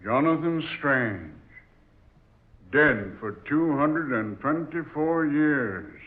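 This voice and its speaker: An actor playing a stern, commanding ship captain who gives gruff orders, in 1940s radio acting style. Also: male voice, a little high, animated, drawn-out words. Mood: brooding. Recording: tinny old radio recording.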